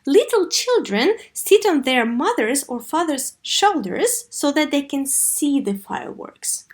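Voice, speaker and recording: Female English teacher for a kids' video lesson, slightly high pitch, instructive and expressive. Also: measured pace. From Russia. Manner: didactic, expressive model reading with clear questions. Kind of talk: video lesson for third graders.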